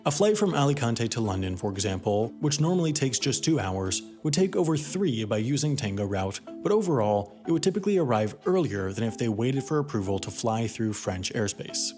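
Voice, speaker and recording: A man's voice: medium pitch, very animated, brisk, hardly any pauses, mellow, soft background music. A man reading scripted explainer narration as a narrator.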